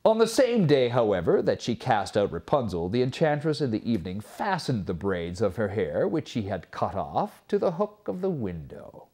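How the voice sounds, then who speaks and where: A man reading a fairy tale aloud as a storyteller, expressive and theatrical, with measured phrasing. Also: mid-range voice.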